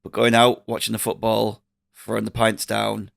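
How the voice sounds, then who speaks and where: A man's voice: fairly low pitch, monotone, normal speed, creaky, no room sound, studio quality. A middle-aged man co-hosting a podcast, full of cold, talking in a rambling, self-deprecating way. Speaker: podcast co-host. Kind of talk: casual chat.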